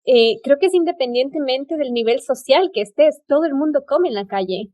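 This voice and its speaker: A woman's voice: sits high, animated, brisk, mellow. A woman with an Ecuadorian Spanish accent hosting a casual travel conversation, effusive and given to emphatic repetition. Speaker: podcast host.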